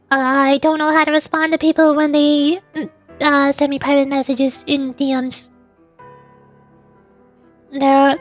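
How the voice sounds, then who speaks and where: A woman streamer with a high voice chats casually while she plays a game. She talks in a hesitant, self-deprecating way, often halting mid-thought. Game music plays softly behind her. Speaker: livestreamer, VTuber voice actor. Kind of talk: casual livestream chat while playing a game.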